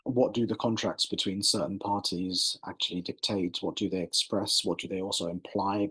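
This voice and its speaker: A man with an English accent, a barrister speaking on an academic panel over a video call in a measured, lawyerly way, often repeating words as he goes. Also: low-pitched, a bit bright, breathy edge, rough recording. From England. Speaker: barrister and institute director, panelist.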